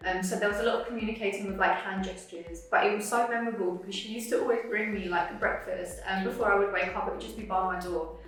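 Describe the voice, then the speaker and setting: A woman's voice: mid-range voice, steady tone, fast, few pauses, fairly bright tone. A woman in her late twenties from England with an English accent, chatting casually in an interview. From Cambridge, England. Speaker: interviewee, travel content creator. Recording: very echoey room, distant microphone.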